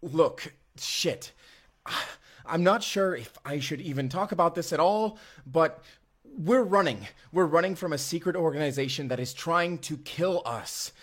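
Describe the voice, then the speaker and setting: A man's voice: a little high, animated, a little slow, a bit bright. An actor playing a desperate, foul-mouthed young man on the run in an audio drama. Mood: frantic, pleading. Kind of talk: first-person immersive audio drama acting.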